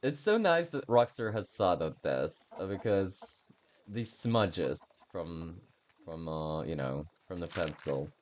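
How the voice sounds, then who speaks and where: Deep-voiced, slow, swooping male gamer. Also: lively intonation, a little hiss or hum. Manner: halting, self-deprecating, freely swearing. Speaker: game streamer. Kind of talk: casual playthrough commentary.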